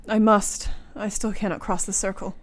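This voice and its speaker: A woman's voice: mid-range voice, little pitch movement, moderate pace, lightly acted. An actress playing an earnest, brave married woman under a vampire's curse in a radio play, with formal period phrasing. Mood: haunted, earnest. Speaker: actor. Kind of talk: radio drama acting.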